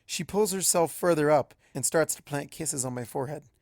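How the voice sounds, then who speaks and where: Someone narrating a fanfic story in first person, mid pitch, really dry close recording. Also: normal speed, darkish tone, no room sound. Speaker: fan fiction narrator.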